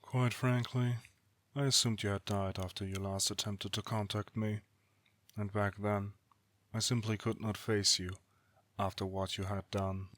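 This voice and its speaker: Casting: cold, proud immortal brother played by a man, lowish voice, dry close sound, formal and disdainful. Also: flat delivery, fairly bright tone, dry recording. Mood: cold, haughty. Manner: formal, haughty, measured rhetoric. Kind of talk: scripted audio roleplay drama acting.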